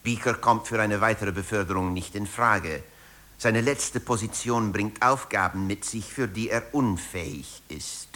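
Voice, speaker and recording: A man's voice: lowish voice, measured pace, pushed and bright. A man delivering scripted narration for a satirical radio feature in a composed, explanatory way.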